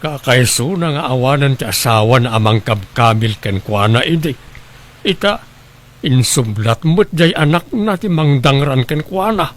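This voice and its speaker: A man's voice: mid-range voice, a little slow, natural voice. A man acting a concerned, helpful family relative in a radio drama, speaking earnestly and sympathetically. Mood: sympathetic.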